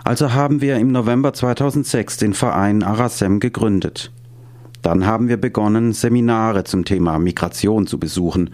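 A man's voice: on the low side, moderate pace, a little mellow. A man reading scripted narration and voice-over for a radio documentary.

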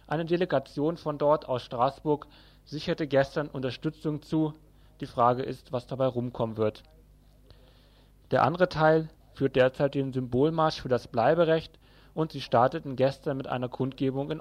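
A man's voice: mid-range voice, moderate pace. A man presenting a news report from a script, as a radio newsreader.

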